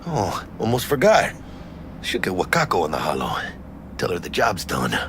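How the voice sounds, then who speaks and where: A man's voice: low voice, wildly swooping pitch, normal speed, noisy background. A male actor playing a brash, big-hearted street mercenary with a Mexican-American accent, slangy and mixing in Spanish, in a video game. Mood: friendly, easygoing. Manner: slangy, peppering speech with Spanish. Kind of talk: cinematic video game acting. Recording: loud music behind the voice.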